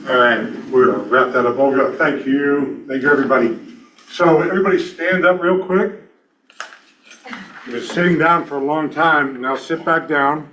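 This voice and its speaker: A man in his sixties hosting an event, talking to the audience in a brisk, folksy, self-deprecating way. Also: on the high side, fairly bright tone, distant mic, slight background noise, low-quality recording. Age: 60s. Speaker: event host and speaker. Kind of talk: lively stage talk to an audience.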